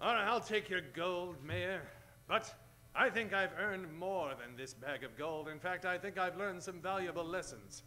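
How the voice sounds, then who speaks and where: A man's voice: high voice, moderate pace, sharp tone. A man playing a pompous, bumbling, bigoted Western hero in a comic old-time radio play. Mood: self-important. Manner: hammy, pompous.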